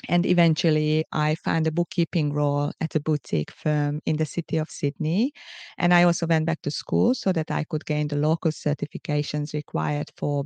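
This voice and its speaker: A middle-aged woman with a Hungarian accent, hosting a solo podcast in a measured, earnest, explanatory way. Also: low voice, gravelly, a little mellow, no room sound. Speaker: podcast host. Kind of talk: solo podcast monologue, semi-scripted.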